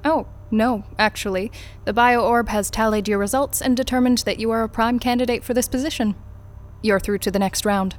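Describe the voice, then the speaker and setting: An actress playing a cool, unflappable corporate interrogator in an audio drama, formal, clipped and detached. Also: female voice, a little high, steady tone, brisk, close to an everyday voice. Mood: composed, businesslike. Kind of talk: naturalistic audio drama acting.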